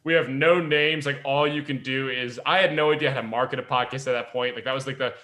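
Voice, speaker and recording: A man's voice: medium pitch, fairly even intonation, fast, pushed and bright. A young man co-hosting a podcast, chatting casually in a rambling way full of "like". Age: young adult. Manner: rambling, peppered with "like". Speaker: podcast co-host. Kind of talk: casual group chat.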